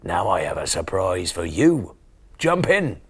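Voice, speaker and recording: A man's voice: low-pitched, sing-song, unhurried, breathy edge, pitched below the performer's own voice, heavily acted. Man playing a weary, kindly farmer. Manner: weary, sighing. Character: tired, kindly male farmer, sighing but grateful.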